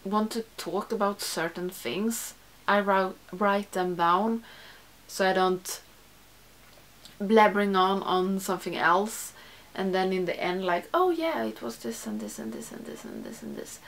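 A woman's voice: medium pitch, animated, unhurried, fairly bright tone. Young woman chatting casually to camera. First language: not English. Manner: rambling, self-correcting, frequent "like". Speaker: beauty YouTuber. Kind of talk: casual chat to camera, answering a tag. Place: small room with makeup shelves, indoors.

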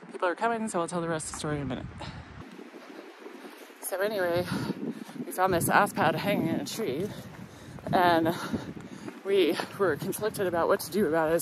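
A woman's voice: mid-range voice, noisy background. A woman in her thirties vlogging while hiking a forest trail, talking casually and wryly into a phone held at arm's length. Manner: chatty, wry, breathy from hiking. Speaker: thru-hiker vlogger. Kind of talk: casual walking vlog.

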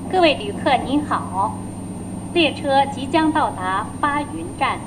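A woman's voice: sits high, expressive, takes it slowly. A woman reading a scripted, pre-recorded announcement as an automated train announcer. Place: played over speakers inside moving train. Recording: noisy train carriage speaker.

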